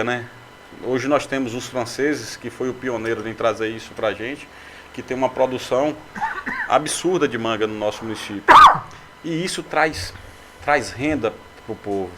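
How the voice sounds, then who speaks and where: A man's voice: on the low side, a little hiss or hum. A man from Brazil, a city councilman and candidate, answering radio interview questions with many fillers and restarts. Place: local radio studio.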